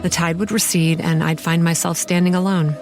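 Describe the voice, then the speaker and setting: A woman performs a sardonic, haunted young woman narrating her own horror story. Her voice is slightly low, confiding and wry. Music plays clearly behind her. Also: flat delivery, fairly quick, a little mellow, close to an everyday voice. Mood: brooding.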